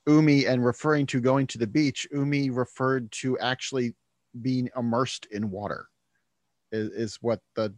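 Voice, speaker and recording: A man's voice: medium pitch, fairly even intonation, moderate pace, darkish tone, dry recording. A man hosting a podcast, chatting casually and thinking aloud, often starting sentences over. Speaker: podcast host. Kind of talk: casual conversation.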